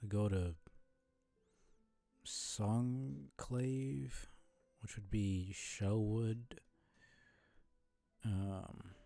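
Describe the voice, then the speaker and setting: A man streaming a video game with casual commentary, musing and thinking aloud in a low-key way. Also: steady tone, very slow, gravelly, long pauses, mellow, dry recording, faint music behind.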